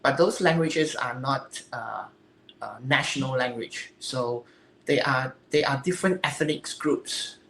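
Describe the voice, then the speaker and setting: Halting Singaporean woman in an echoey room. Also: a bass voice. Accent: Singaporean English. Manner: halting, searching for words, earnest. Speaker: interview guest, physique competitor. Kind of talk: interview answers. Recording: echoey room, faint ambient noise.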